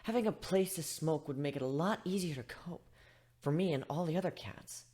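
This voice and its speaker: A man voicing an excitable, grateful female cat in a dramatic story reading, with a gushing manner. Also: on the high side, fairly quick, close to an everyday voice. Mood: gushing, relieved.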